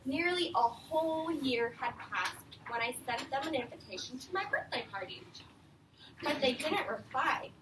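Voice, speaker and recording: A woman teacher reading a picture book aloud to young children and asking them questions, in an expressive, encouraging, patient manner. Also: sits high, moderate pace. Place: indoor room with a group of young children. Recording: roomy, distant mic, faint background noise.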